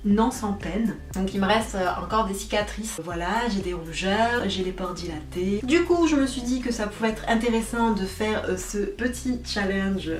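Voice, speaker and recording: A woman's voice: mid-range voice, normal speed, hardly any pauses. Chatty young woman in an echoey room. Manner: chatty, self-mocking irony, frequent fillers. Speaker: beauty vlogger. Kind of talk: casual makeup tutorial. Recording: echoey room, voice a bit far from mic, faint music.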